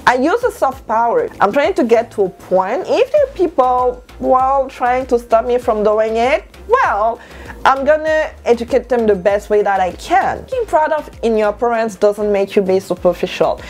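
A woman's voice: fairly high pitch, moderate pace. Emphatic French-native woman vlogger. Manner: animated and emphatic, gesturing, repeats lines for stress. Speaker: lifestyle vlogger. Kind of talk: motivational talk to camera. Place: bedroom at home, indoors.

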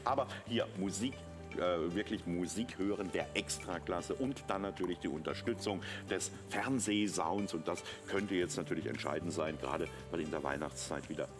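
A man's voice: deep voice, normal speed. A middle-aged man presenting enthusiastically on a TV shopping show, explaining products as he sells them. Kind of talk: live teleshopping product pitch. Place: TV shopping studio. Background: music.

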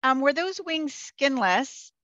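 A woman's voice: sits high, expressive, slow pace, fairly bright tone, no echo. A middle-aged woman moderating a live online class, casually relaying questions over a headset on a video call. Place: home room, indoors. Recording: slightly muffled video-call headset sound.

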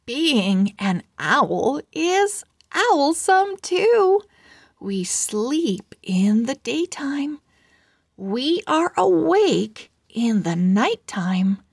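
A cheerful young storybook owl girl, voiced by a woman shares her diary. She speaks very slowly, stressing words with big animated swings in pitch. She is chatty and emphatic throughout. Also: creaky, dry recording, clean studio sound, full character voice.

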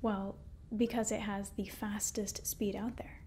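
A woman in her twenties giving a sponsor read in a breathy ASMR whisper. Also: medium pitch, little pitch movement, measured pace, dark tone, slight background noise. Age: 20s. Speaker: ASMR host reading a sponsor message.

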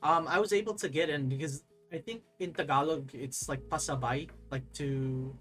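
A young man chatting casually on a live stream at his desk, rambling and excitable, reading chat aloud and laughing often. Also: a little high, normal speed, faint music behind. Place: desk at home, overhead camera.

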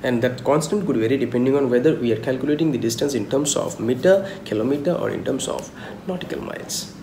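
A young man lectures informally on a technical subject at a middling pitch. He explains haltingly, repeats his points and poses questions that he then answers. The sound is roomy and echoey, with noticeable background noise. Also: sing-song, gravelly, warm tone. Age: young adult. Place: small indoor room at home.

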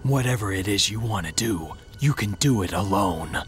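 An actor playing a brooding, stubborn hedgehog antihero in a video game, terse and stern. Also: male voice, lowish voice, lively intonation, moderate pace, faint music behind, lightly acted. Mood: defiant. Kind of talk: video game cutscene acting.

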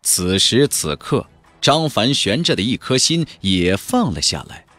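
A male audiobook narrator performs a fantasy novel in a husky, mid-pitched voice. His delivery is theatrical and emphatic, with very wide melodic swings. The sound is dry, with music playing behind him. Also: big pitch swings, unhurried, pushed and bright, no echo.

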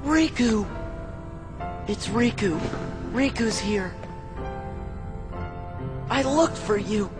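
A man's voice: sits high, animated, drawn-out words, long pauses, full character voice. An actor playing an earnest, emotional teenage hero boy in a video game, speaking with heartfelt sincerity. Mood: tearful relief. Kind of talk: video game cutscene voice acting. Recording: game audio with long reverb, music.

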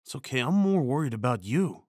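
A man performing an ASMR comfort roleplay as a tender, worried boyfriend, speaking in a hushed, soothing way with sighs and shushing. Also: medium pitch, sing-song, brisk, dry recording, clean studio sound.

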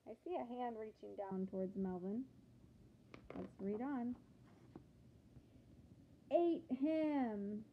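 High, creaky-voiced woman reading a picture book. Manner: animated, deliberate phrasing, questioning the listeners. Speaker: teacher reading aloud to children. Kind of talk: expressive picture-book read-aloud with asides to children. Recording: phone-like thin sound with background noise.

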